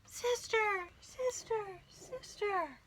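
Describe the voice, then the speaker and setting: A woman's voice: extremely high pitch, extremely slow, a bit bright, a little hiss or hum, voice pushed up, full character voice. A woman voicing a plucky, polite young female duck with a crafty streak in a children's storybook. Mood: calling out. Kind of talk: children's storybook read-aloud.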